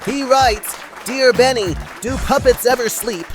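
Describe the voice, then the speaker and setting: A woman's voice: a little high, measured pace, over music, crowd noise, natural voice. A woman voicing a chirpy, wry puppet host in a scripted comedy show, addressing the audience theatrically. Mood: upbeat, wry.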